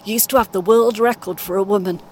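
A woman playing a kindly, encouraging British grandmother in her eighties in an audio play, speaking gently and reassuringly. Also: fairly even intonation, fairly quick, close to an everyday voice. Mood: reminiscing. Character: kindly, encouraging 81-year-old grandmother on a coach. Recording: clear vehicle rumble noise. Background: vehicle ambience.

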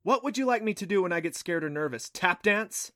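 An excitable young girl in an audiobook adventure, voiced by a man. The voice is pushed up high, breathy and eager, and turns exasperated and defensive easily. The sound is close and dry. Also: brisk, no room sound. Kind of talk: audiobook character voice.

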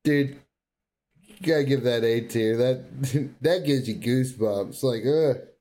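A man co-hosting a casual gaming podcast at a fairly slow pace. His voice sits at a middle pitch, and his manner is informal and crudely jokey. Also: mellow. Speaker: podcast co-host.

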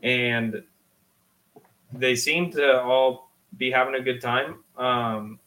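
Male sports podcast co-host, mid-pitched voice, chatty and slangy, explains in casual conversation. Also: steady tone, slow pace, sharp tone, low-quality recording. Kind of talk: casual motorsport discussion.

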